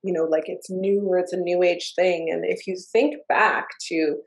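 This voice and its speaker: A woman's voice: on the low side, fairly even intonation, moderate pace, gravelly. A woman hosting a podcast in an easy, conversational way. She tells earnest stories and acts out little quotes as she goes. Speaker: podcast host. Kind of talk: casual conversational interview. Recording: roomy echo.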